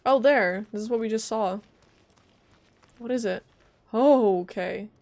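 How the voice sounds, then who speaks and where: A woman's voice: on the high side, unhurried, darkish tone. A woman giving casual live gameplay commentary, thinking aloud and often questioning what she sees. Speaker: game streamer. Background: faint game music.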